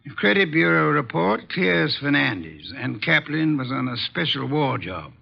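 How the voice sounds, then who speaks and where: A dull vintage radio recording carries an imperious private detective. His voice sits a little high for a man and has plenty of gravel. He questions people formally and deliberately, with shrewd precision. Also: sing-song, heavily acted. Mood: measured, probing. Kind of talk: 1940s-50s radio detective drama acting.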